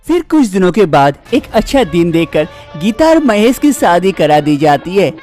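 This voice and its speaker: A woman narrates a cartoon story in a mellow, mid-pitched voice. She keeps an even, steady pace, and faint music plays underneath. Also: moderate pace.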